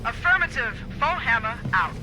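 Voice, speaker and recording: A woman's voice: a little high, sing-song, moderate pace. A woman playing a tough, wry military dropship pilot in a video game, speaking in a clipped military way. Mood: businesslike. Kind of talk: video game cutscene acting. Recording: loud aircraft noise behind voice.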